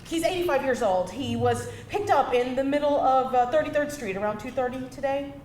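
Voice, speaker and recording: A stressed young woman, played by an actress in a staged script reading, speaks fairly high and haltingly, always apologetic. She stammers as she explains herself. The room echoes and there is faint noise. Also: animated, a little mellow. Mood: overwhelmed. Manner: hesitant, stammering. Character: stressed, apologetic 25-year-old woman caring for her grandfather. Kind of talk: live screenplay table read. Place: indoor live table read before an audience. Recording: roomy live stage recording, faint noise.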